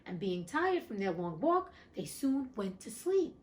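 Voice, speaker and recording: A woman's voice: medium pitch, very animated, unhurried, darkish tone, slight background noise. Chatty woman reading fairy tales. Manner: chatty, irreverent asides with casual swearing. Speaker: host reading classic stories. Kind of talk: storybook reading with casual asides.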